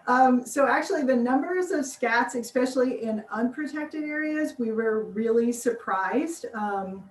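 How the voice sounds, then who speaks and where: A woman's voice: fairly high pitch, expressive, unhurried. American woman, scientist guest on a video call, chatty and laugh-prone; roomy, distant pickup. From United States. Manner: chatty, laughs often, acts out quotes. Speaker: biologist guest. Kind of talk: casual interview answers. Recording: distant roomy mic with faint noise.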